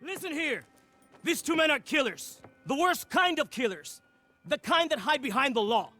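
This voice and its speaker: An actor playing a vengeful Wild West gang villain in a video game, declaiming threats to all around. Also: male voice, very high voice, animated, normal speed, sharp tone, faint music behind, exaggerated voice. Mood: menacing. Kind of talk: western video game acting.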